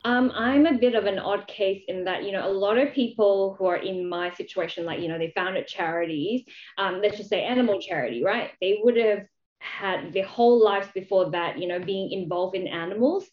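A woman's voice: medium pitch, normal speed. A woman from Malaysia talking casually and explaining her experience as an interview guest, often adding "you know" as she goes. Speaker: charity founder, interview guest. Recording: dull, roomy, echoey sound.

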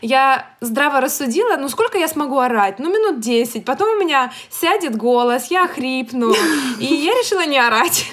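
A woman with a high voice co-hosting a podcast. She tells casual, funny stories at her own expense, rambling along in a lighthearted manner. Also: lively intonation, normal speed, a bit bright. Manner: self-deprecating, rambling, lighthearted. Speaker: podcast co-host. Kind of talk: casual humorous storytelling.

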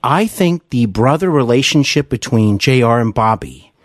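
A middle-aged American man interviews in an earnest, effusive way. His pitch is average and he talks at length, conversationally. The sound is close and dry. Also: lively intonation, moderate pace, dry recording. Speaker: podcast host interviewer.

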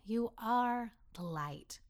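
Storybook God, voiced by a woman: smiling, wise, patient, very expressive, unhurried delivery. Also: on the high side, heavily acted. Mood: delighted. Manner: gentle, deliberate, explanatory.

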